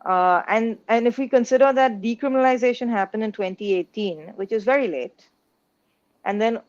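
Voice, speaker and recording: A woman's voice: a little high, normal speed, a little mellow, no echo, highs cut off. A woman from India, an interview guest, making her case in an earnest, articulate way. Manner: earnest, articulate, building an argument. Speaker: guest, business executive. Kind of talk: interview answers.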